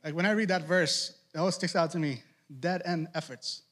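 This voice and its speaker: A man's voice: on the high side, fairly bright tone. A young man from Trinidad with a Trinidadian accent touched by Boston, preaching a casual, conversational youth sermon as a ministry director. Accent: Trinidadian, with Boston influence. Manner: conversational, asks rhetorical questions, quick asides.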